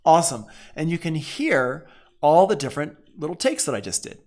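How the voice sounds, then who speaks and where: An American man giving an informal software tutorial, thinking aloud as an instructor and punctuating steps with short exclamations. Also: on the high side, big pitch swings, normal speed. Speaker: audio production instructor.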